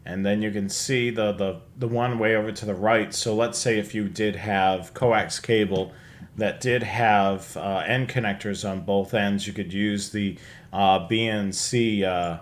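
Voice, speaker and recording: A man's voice: lowish voice, fairly even intonation, a little slow. A man hosting a live show, presenting products in a casual, conversational walkthrough. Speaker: show host presenting slides.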